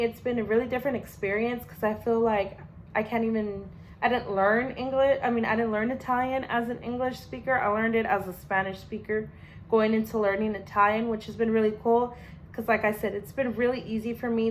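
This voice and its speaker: A woman's voice: fairly high pitch, flat delivery, brisk, a little hiss or hum, low-quality recording. A young adult woman, a language student, gives a casual spoken class presentation in a chatty way, full of fillers and restarts.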